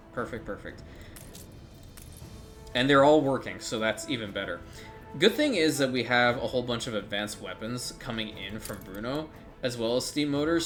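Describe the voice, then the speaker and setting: A man's voice: medium pitch, fairly bright tone. A man giving casual, rambling commentary while playing a strategy game, thinking aloud and swearing now and then.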